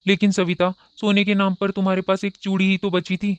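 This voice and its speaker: A man voicing a kind, worried middle-aged father in a cartoon, speaking in a gentle, coaxing, steady manner. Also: sits high, brisk, clean tone, dry recording, close to an everyday voice. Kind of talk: Hindi cartoon dubbing.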